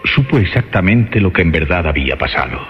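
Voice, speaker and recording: A man with a very low voice narrates horror in suspenseful, measured phrases. His pitch moves expressively over background music, and the recording is rather muffled. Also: very animated. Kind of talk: dramatic scripted horror narration. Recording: muffled lo-fi radio drama recording.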